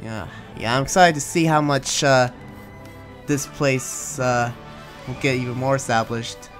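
A man's voice: medium pitch, steady tone, unhurried, lightly acted. A man playing a friendly, awkward young demon slayer in improvised game roleplay, talking in a hesitant, rambling, casual way. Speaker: roleplayer in a Minecraft anime roleplay. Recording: music playing behind the voice.

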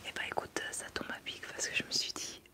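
A young woman, whispering casually into a close desk mic during an ASMR livestream, rambling and answering chat. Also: fairly quick. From France. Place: small bedroom studio indoors. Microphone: large desk mic up close.

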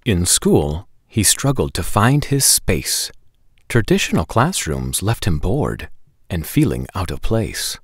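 A man reads a picture book aloud for children. His pitch rises and falls dramatically in a sing-song way, and he takes his time with each phrase. The sound is close and dry, from a lapel mic. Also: breathy edge, no room sound. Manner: deliberate, animated, sing-song delivery. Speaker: children's storytime host. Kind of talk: expressive read-aloud narration for children. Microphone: lapel microphone.

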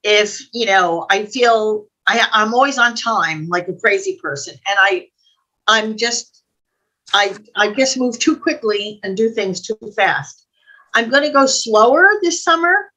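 A woman's voice: medium pitch, expressive, a little slow, bright, forceful tone, rough recording. An older American woman chatting casually and in a rambling, conversational way as a co-host on a video call. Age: older, 60s or above. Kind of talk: casual chat. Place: indoor room at home, video call. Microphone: computer mic on video call.

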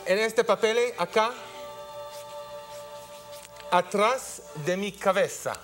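High-voiced Israeli man, halting speech. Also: fairly bright tone, soft background music. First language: Hebrew. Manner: halting, broken speech with repeated words. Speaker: guest mentalist performer. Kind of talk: unscripted stage patter on a talk show. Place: television studio. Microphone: lapel mic. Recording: faint background studio noise.

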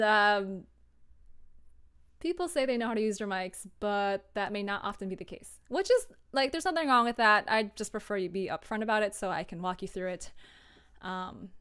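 Young female streamer, smooth clear voice, informal and giggly, talking through a work process. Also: medium pitch, fairly bright tone. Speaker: streamer and audio drama producer. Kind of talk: casual chat, explaining her work process.

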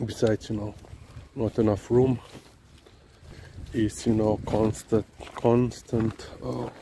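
A man talking haltingly on a dog walk outdoors, filling pauses with "you know" and "um". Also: lowish voice, flat delivery, creaky, warm tone. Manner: halting, many fillers and restarts. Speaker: dog-walking vlogger. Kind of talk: casual walk-and-talk monologue. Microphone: camera held low, walking. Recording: outdoor noise behind the voice.

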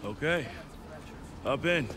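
A no-nonsense American policeman in a game cutscene. He is determined and direct, with a slightly high voice that moves around a lot. Music sits behind him. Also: expressive, slow. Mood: guarded. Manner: matter-of-fact, determined. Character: steady, brave, no-nonsense city police officer. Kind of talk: video game cutscene acting.

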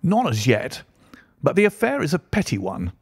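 A man voicing a hearty, unflappable country colonel with bluff, old-fashioned gentlemanly talk in an audiobook story. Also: a little high, huge pitch swings, moderate pace, breathy edge, dry recording, clean studio sound, voice pushed up, full character voice. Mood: breezy, dismissive. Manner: bluff, old-fashioned upper-class phrasing.

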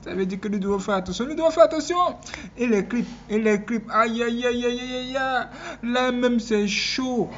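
A man's voice: extremely high pitch, moderate pace. A man giving reactive commentary, exclaiming and repeating phrases for emphasis. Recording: dull lo-fi sound with faint noise.

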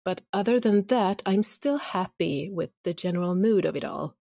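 A woman speaks in a fairly low voice, recorded close and dry. She talks informally, as a guest in a relaxed interview, and laughs easily. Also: normal speed, vocal fry, dry recording. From Scandinavia. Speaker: podcast guest, audio drama creator and voice actor. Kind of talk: casual interview chat.

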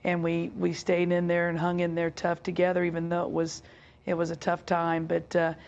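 Hoarse, gravelly woman coach on a video call. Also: fairly low pitch, completely flat delivery, a little mellow, highs cut off. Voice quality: raspy. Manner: halting, many fillers. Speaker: head basketball coach. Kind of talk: remote press interview. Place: office with team logo backdrop.

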